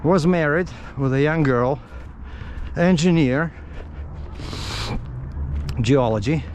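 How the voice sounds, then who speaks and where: A middle-aged man from Russia with a Russian accent tells stories casually in English as a non-native speaker, haltingly searching for words. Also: a little high, a little mellow. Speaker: vlogger. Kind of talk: casual rambling storytelling. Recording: outdoor street noise with traffic.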